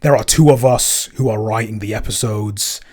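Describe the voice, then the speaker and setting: A man co-hosting a podcast in casual, unscripted talk, speaking haltingly and often repeating his words. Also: lowish voice, a little mellow. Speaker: podcast co-host and composer.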